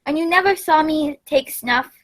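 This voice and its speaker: A woman in a radio play acting a kindly, genteel male doctor with a fatherly, measured manner. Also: high voice, a bit bright. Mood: kindly confiding. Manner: avuncular, measured.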